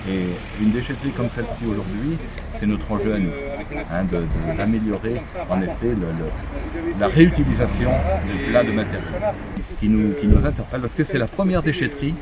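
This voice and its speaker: A middle-aged French-accented man with a fairly low voice answers questions outdoors at a recycling center. He speaks haltingly, full of fillers and restarts. The chatter of a crowd is clearly heard behind him. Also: lively intonation, poor audio. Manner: hesitant, many fillers and restarts. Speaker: mayor and local official. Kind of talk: on-site interview.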